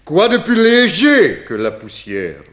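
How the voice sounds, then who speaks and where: A man's voice: fairly high pitch, wildly swooping pitch, fairly bright tone, full character voice. An actor playing an eccentric, philosophical Russian émigré with a Russian accent, grandiloquent and cryptic, dropping his articles in a 1960s French radio crime drama. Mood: riddling, amused. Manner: slow, grandiloquent, broken grammar with dropped articles.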